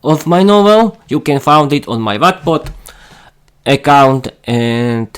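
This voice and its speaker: Halting Slovak-accented man, slow. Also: medium pitch, lively intonation, clear, resonant tone. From East Slovakia. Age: 30s. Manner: halting learner English with frequent fillers and restarts. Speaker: podcast host. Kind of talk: solo podcast monologue.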